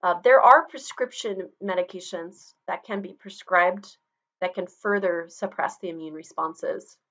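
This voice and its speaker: A woman's voice: lowish voice, unhurried, fairly bright tone, dull audio. A middle-aged woman teacher giving a recorded online lecture, explaining in a conversational way with personal asides. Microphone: webcam video recording.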